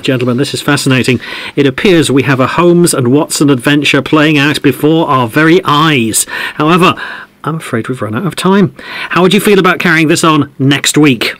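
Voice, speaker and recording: A man's voice: on the high side, normal speed, a bit bright, no echo, close to an everyday voice. A man playing a polite, wry football podcast host in an audio drama, with a presenterly manner. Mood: genial, presenterly. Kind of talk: conversational audio drama acting.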